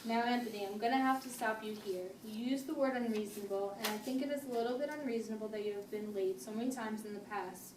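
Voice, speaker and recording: A woman playing a patient, firm family therapist, speaking in a measured, formal way in an amateur role-play. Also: on the high side, fairly even intonation, a little mellow, natural voice. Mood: firm, reasoning. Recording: noisy echoey room, distant mic.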